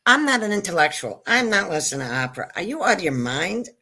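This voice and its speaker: A woman's voice: medium pitch, sing-song, fast, bright, forceful tone. An older woman born in Israel whose first language was Hebrew, telling her story in a rambling, self-interrupting, joking way as a guest comedian. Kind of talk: casual interview chat.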